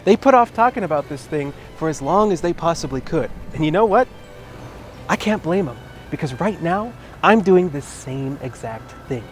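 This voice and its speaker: A man's voice: fairly high pitch, expressive, moderate pace. A man hosting a comedic movie review, reading scripted narration in a sarcastic manner. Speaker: host of a movie review show. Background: background music.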